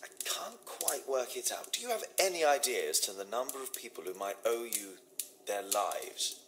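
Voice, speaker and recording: A shrewd Victorian detective for a video game. He speaks courteous, formal British English in a medium-pitched voice with lively intonation, deliberate and probing. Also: slight background noise. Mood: thoughtful, polite. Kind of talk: scripted video game dialogue acting.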